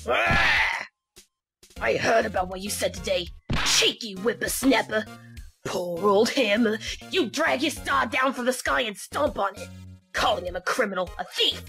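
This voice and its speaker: A woman's voice: fairly high pitch, lively intonation, unhurried, vocal fry, sharp tone, full character voice. A woman voicing a cranky, scolding old female security guard in an amateur game fandub. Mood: indignant. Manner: scolding, melodramatic. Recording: music playing behind the voice.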